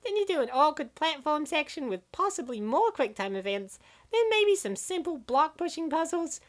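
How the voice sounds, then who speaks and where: A woman's voice: high-pitched, very animated, moderate pace. A woman voicing a sardonic, opinionated young female gamer in a comic dub, given to ranting about games. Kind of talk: comic dub dialogue, gaming banter.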